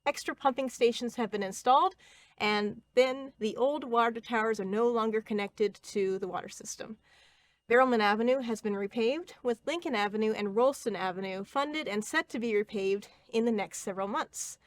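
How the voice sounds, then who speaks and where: A woman in her thirties from Ohio giving a prepared campaign statement as a city council candidate, earnest and steady with small slips. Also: fairly high pitch.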